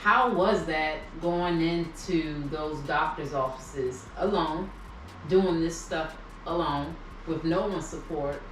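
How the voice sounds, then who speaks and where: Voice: mid-range voice, slow pace, fairly bright tone, slight background noise. Interviewer asking probing questions, echoey room. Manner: probing questions with affirming replies. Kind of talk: interview conversation. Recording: echoey room, mic at a distance.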